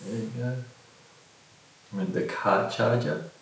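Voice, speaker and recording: A middle-aged man with a gravelly voice, casually showing and reviewing a product at a table in a bare room, picked up by a camera a few metres away. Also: lowish voice, fairly even intonation, measured pace. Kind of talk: casual unboxing demonstration. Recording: very echoey room, distant mic, faint noise.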